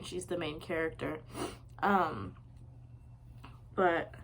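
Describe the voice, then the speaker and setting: A young woman giving a casual, unscripted film review, talking in a rushed way full of "like" and "um". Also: lowish voice, fairly bright tone, a little hiss or hum. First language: English. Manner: rushed, lots of "like" and "um" fillers.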